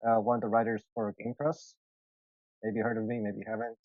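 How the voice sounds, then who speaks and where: A man's voice: fairly low pitch, level tone, a little fast, no echo. A man from Canada chatting casually as a panel guest, laid-back and noncommittal, over a dull voice call. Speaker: game writer, panel guest. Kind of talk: casual chat.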